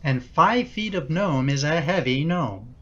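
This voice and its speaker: A middle-aged family man, played by an actor in a radio play, harried and placating. His delivery is slow yet very varied in pitch, and the recording is a bit dull. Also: animated, a bit bright. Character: harried middle-aged husband, father and dutiful son.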